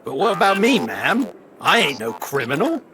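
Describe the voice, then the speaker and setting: An actor playing a humble, plain-spoken robot brawler with a colloquial way of talking in an audio drama. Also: male voice, on the high side, very animated, moderate pace, background noise. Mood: folksy, defensive.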